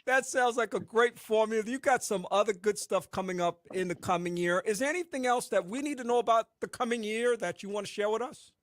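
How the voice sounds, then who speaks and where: A man from the hosting a radio interview, friendly and quick to laugh. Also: high voice, no room sound, clean studio sound. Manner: friendly, laughs often, drawn-out host phrasing. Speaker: radio show host. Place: radio studio.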